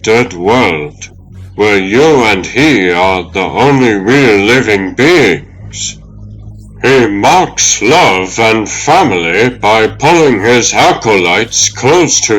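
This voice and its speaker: A man voicing the wise, gentle ghost of an elderly butler in a comic dub, speaking in measured, solemn counsel. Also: lowish voice, full character voice, rough recording. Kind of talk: fan comic dub acting.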